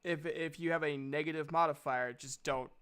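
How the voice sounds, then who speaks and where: A man's voice: a little high, steady tone, normal speed, fairly bright tone, clear, resonant tone. A man acting as game master, casually narrating a tabletop game and explaining rules.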